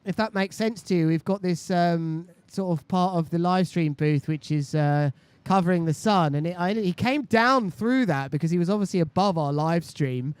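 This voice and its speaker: A man's voice: high-pitched, normal speed, smooth, no room sound. A man commentating on a live sports stream in a chatty, conversational way. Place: indoor live stream booth by a beach.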